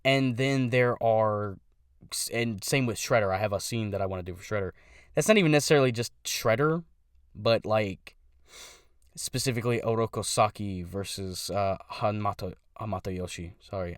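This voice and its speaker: A man's voice: lowish voice, normal speed, no room sound, clean studio sound. A young man who does voice impressions, talking casually about his channel in a rambling way, stopping to correct himself.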